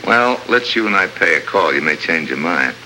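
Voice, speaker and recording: An actor playing a tough, wisecracking American secret agent in a wry, clipped 1950s radio adventure style. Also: male voice, low-pitched, lively intonation, normal speed, pushed and bright, heavily acted. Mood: sly, confident. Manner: wry, clipped, hard-boiled. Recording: noisy old radio drama recording.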